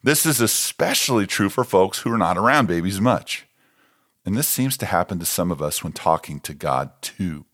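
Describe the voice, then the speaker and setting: A man's voice: low-pitched, lively intonation, normal speed, a bit bright. An American man, a pastor, reading a scripted devotional.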